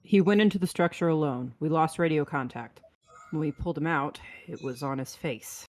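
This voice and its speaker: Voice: mid-range voice, moderate pace, a little mellow, slight background noise, lightly acted. A performer playing a blunt, decisive spaceship captain in a sci-fi script read, terse and commanding. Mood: grim.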